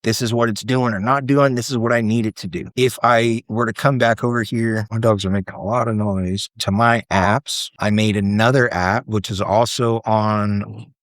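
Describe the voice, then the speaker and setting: A man's voice: on the low side, fairly even intonation, moderate pace, dry recording, clean studio sound. A man giving a casual screen-share tutorial, explaining things informally step by step. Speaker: presenter demonstrating self-built apps.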